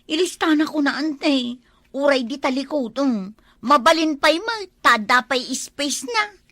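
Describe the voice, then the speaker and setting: Lady doing whiny pushy village woman, ilocano accent, high n sharp, dry sound, comedy drama. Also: animated, a little slow, no room sound, full character voice. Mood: pleading. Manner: complaining, pleading, exclaiming.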